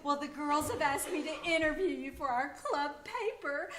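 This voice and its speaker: Actress as fluttery garden-club lady, gushing, high voice, very animated, old-time radio comedy, live audience noise. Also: normal speed, a crowd in the background. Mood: thrilled.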